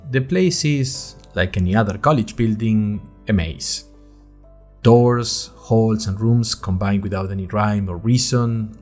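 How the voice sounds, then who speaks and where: Male actor as wry, nervous college student narrating an audio drama diary; lowish voice, animated swings, music underneath. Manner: conversational, wry, dramatic emphasis. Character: chatty, nervous, wryly humorous young male college student. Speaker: narrator of an audio fiction podcast. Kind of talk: scripted first-person storytelling, diary-like.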